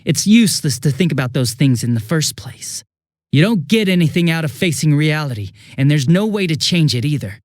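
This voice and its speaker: An American man playing a cynical, bitter young villain in a video game, with a husky voice and a flippant, contemptuous manner. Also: fairly high pitch, expressive, brisk, darkish tone, no room sound, clean studio sound, lightly acted. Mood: mocking, jaded. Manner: flippant, mocking, contemptuous drawl. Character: cynical, bitter, sneering young male villain turning unhinged.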